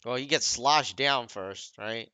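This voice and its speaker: A man's voice: mid-range voice, takes it slowly, bright, forceful tone, slight background noise. A man giving casual, off-the-cuff commentary on video game cutscenes in a critical, nitpicking manner. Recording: dull, lo-fi dry microphone recording.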